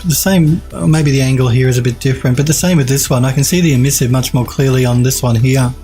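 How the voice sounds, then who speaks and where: Man, 40s, stuffed-up nasal head cold, warm-toned enthusiastic streamer, faint music behind. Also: medium pitch, steady tone, fast talker. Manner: enthusiastic, repeats praise, restarts. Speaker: 3D art streamer reviewing viewer work. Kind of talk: casual live-stream critique. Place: home streaming room, indoors.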